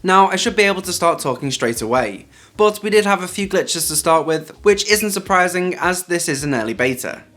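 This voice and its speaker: A man's voice: sits high, expressive, a little fast, hardly any pauses, a bit bright. A man hosting a tech video, narrating a scripted hands-on review. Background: background music.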